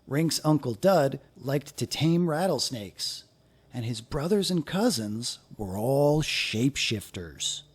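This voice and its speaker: A man reading a children's picture book aloud in an expressive, deliberate storytelling manner. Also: mid-range voice, husky.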